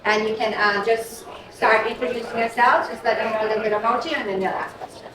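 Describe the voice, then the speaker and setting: A woman's voice: a little high, fast talker. A woman moderating a press session, introducing players in a friendly way. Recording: roomy, crowd noise, distant mic.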